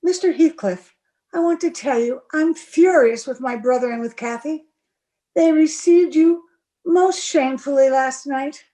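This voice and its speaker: A woman playing an earnest, defiant, smitten young gentlewoman with a prim manner in an old-time radio drama. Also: high voice, unhurried. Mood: shy, smitten.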